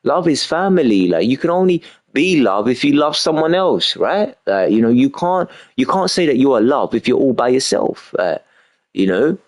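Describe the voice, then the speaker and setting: A man's voice: mid-range voice, sing-song, moderate pace. A young man streaming a game and talking in an emphatic, repetitive way, often filling with "you know what I mean". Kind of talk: casual rambling monologue while gaming.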